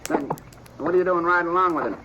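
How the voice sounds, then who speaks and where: A man's voice: sits high, fast talker, muffled recording, noisy background, close to an everyday voice. An actor playing a nervous frontier townsman who stammers and fawns to please. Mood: suspicious. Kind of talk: 1950s radio drama acting.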